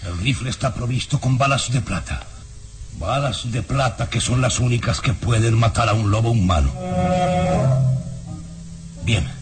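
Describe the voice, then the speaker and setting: A man's voice: mid-range voice, measured pace, long pauses, close to an everyday voice. An actor playing a middle-aged baron, a protective and resolute father, in an emphatic old radio serial style. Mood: urgent resolve. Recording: loud dramatic music over voice.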